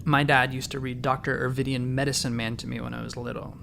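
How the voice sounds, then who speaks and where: A man's voice: mid-range voice, level tone, quick pace, vocal fry, background noise, natural voice. An actor playing an earnest, eager doctor in his thirties, patient but a little awkward, in a sci-fi comedy audio drama. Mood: earnest, admiring. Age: 30s. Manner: sincere, patient, slightly awkward. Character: earnest, eager thirty-four-year-old doctor, easily flustered.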